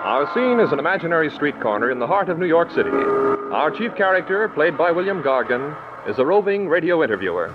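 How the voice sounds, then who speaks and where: An actor as a formal, grand 1940s radio announcer with a declamatory delivery. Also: male voice, fairly high pitch, big pitch swings, fairly quick, heavy background noise. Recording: muffled 1940s radio recording, loud organ.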